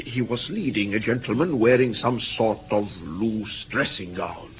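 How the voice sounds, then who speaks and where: An actor playing a formal, earnest and excitable male interpreter in an old British radio play. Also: lowish voice, lightly acted. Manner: formal, earnest, flustered when alarmed. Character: courteous, excitable Greek male interpreter, easily indignant. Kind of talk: mid-20th-century British radio play acting. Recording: tinny, slightly noisy old radio recording.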